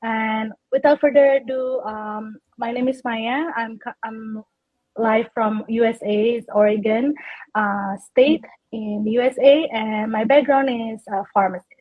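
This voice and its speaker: A woman's voice: fairly high pitch, fairly even intonation, a little slow, no echo, phone-quality sound. A woman hosting a live online chat, a little nervous and chatty, with many fillers, over a video call. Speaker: host.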